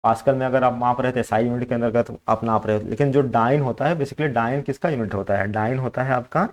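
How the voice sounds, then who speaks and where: A man's voice: mid-range voice, fast talker. A man with an Indian accent teaching in an explanatory, instructive way, walking through exam questions and switching between two languages. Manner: instructive, code-switches between Hindi and English.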